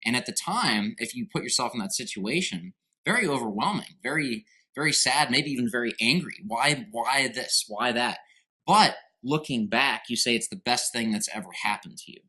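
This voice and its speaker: A man's voice: medium pitch, expressive, normal speed, bright, forceful tone, husky, low-quality recording. A man hosting a podcast interview, emphatic and addressing listeners directly. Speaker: podcast host.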